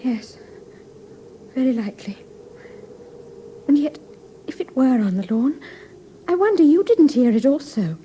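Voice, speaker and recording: A gentle, uneasy young Englishwoman in an old radio play with faint background noise. Her voice is very high and softly dark. She speaks genteel Received Pronunciation. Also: very animated, a little slow, pauses often, muffled recording. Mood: uneasy, tender. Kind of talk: classic British radio drama acting.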